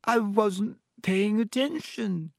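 A man voicing the tearful, self-pitying ghost of a teenage girl, choked and wailing, with a dramatic drawn-out delivery for an audiobook. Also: high voice, creaky, no room sound, clean studio sound, pitched above the performer's own voice, full character voice.

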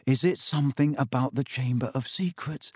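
An earnest teenage boy, voiced by a male narrator in a storybook, asking hushed and urgent questions a little above the reader's own pitch. The recording is dry and close. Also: moderate pace, slightly rough, dry recording, close to an everyday voice.

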